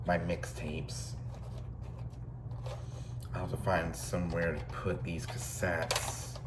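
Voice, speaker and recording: A man in his thirties giving a casual, unscripted show-and-tell in a rambling, repetitive, matter-of-fact way. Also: low voice. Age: 30s. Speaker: vlogger showing thrift store finds. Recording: clear steady background noise, amateur recording.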